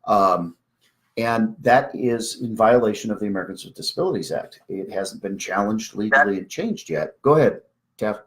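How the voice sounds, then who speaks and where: A woman's voice: a bass voice, animated, normal speed, poor audio. A woman talking casually and explaining rules in a wry, emphatic manner. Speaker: speaker on a live Q&A about service dogs.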